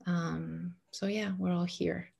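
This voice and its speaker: Low-voiced Egyptian-raised woman, gravelly. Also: flat delivery, slow pace. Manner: warm, earnest, many fillers and restarts. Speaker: guest. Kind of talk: casual conversational interview.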